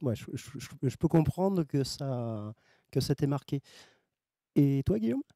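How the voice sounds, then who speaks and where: A man's voice: medium pitch, sing-song, unhurried, dark tone, dry recording, clean studio sound. A man interviewing two circus performers, asking questions politely and with some hesitation.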